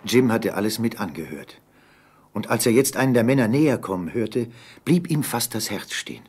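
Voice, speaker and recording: A man's voice: on the low side, animated, moderate pace, darkish tone, slightly rough. A man narrating an adventure story in a dramatic, scripted style.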